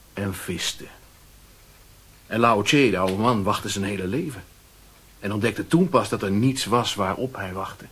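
Sly, husky, mocking merchant. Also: male voice, on the low side, a bit bright, heavily acted. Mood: philosophical, teasing. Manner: sardonic, taunting, deliberate, given to aphorisms. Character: fat, shady Chinese merchant and fraudster, mocking, philosophical. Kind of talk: radio play acting.

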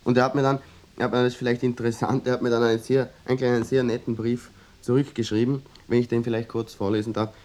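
An actor playing an earnest, obsessive amateur clarinetist in a mock-documentary radio play, rambling and interrupting himself in a Vorarlberg Austrian accent. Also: male voice, medium pitch, level tone, darkish tone, natural voice. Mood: earnest, proud. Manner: rambling, halting, self-interrupting, fussy. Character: earnest, obsessive amateur clarinetist hunting a vanished brass band.

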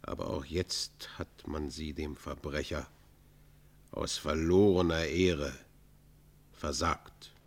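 A repentant robber in a classical radio play. His voice sits low and his speech is formal and declamatory. He pleads for mercy with stubborn pride, stressing his words emphatically. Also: steady tone, takes it slowly, pauses often, exaggerated voice. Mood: proud, bitter. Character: proud, remorseful outlaw robber pleading for mercy. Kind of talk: German radio play, classical literary acting.

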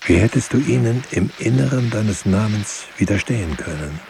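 An actor playing a grave, bitter witness mourning the dead in a poetic radio drama, solemn and rhetorical. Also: male voice, fairly low pitch, animated, moderate pace, creaky, a little mellow, slight background noise. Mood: bitter, urgent.

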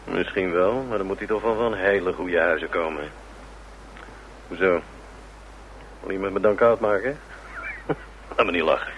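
A cynical, boozy trumpeter in a radio drama, with a lowish voice and a gruff, sneering edge. He swears freely and grumbles, on an old muffled recording with heavy noise. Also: moderate pace, pauses often, a bit bright. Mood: brusque, mocking. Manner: gruff, sneering, often muttering to himself. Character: cynical, hard-drinking, foul-mouthed male jazz trumpeter. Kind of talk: Dutch radio play acting.

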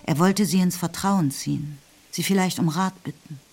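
A woman's voice: lowish voice, moderate pace, darkish tone, husky. A woman giving composed, slightly wry literary narration for a German radio play. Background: music.

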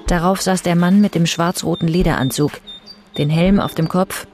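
Low-pitched female radio drama narrator. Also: steady tone, moderate pace, a little mellow, faint music behind.

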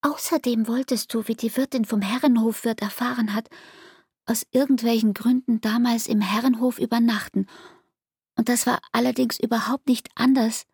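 An actress playing a hurt young barmaid in a radio play, speaking intimately and deliberately in a breathy, hushed voice. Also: female voice, on the high side, no room sound, studio quality. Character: Frieda, hurt young barmaid reproaching her lover. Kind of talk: radio play acting, literary monologue.

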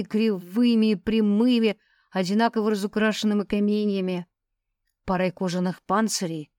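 A woman narrates a story in scripted audiobook style. Her voice sits at a medium pitch, and the recording is very dry, with no room around it. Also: darkish tone, no echo. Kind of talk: scripted first-person fiction narration.

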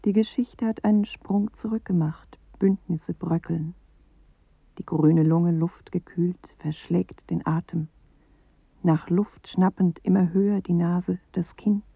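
A solemn, measured narrator of bleak poetry in a radio play. The voice is breathy and creaky, dry and close, in a narrow-band old recording. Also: medium pitch, fairly even intonation, dry recording. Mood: grave. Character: grave poetic narrator reciting bleak apocalyptic images. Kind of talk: literary radio-play recitation.

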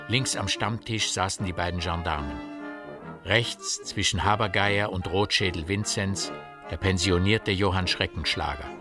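A man narrating a literary radio play in a precise, formal way. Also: sits low. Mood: ominous.

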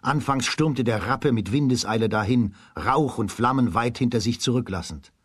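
A man narrating a children's radio play as a scripted storyteller, in a husky voice. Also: mid-range voice, moderate pace, dry recording, studio quality.

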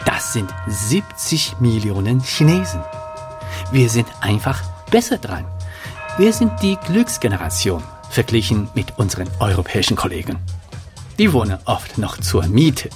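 A man's voice: on the low side, very animated, a little slow, music in the background. A man playing a proud, confident Chinese manager in a documentary-style radio drama monologue. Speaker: business manager.

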